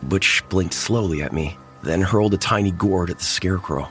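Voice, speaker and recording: Deep-voiced man narrating horror. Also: normal speed, a bit bright. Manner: tense first-person storytelling. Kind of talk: horror audio drama narration. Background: music.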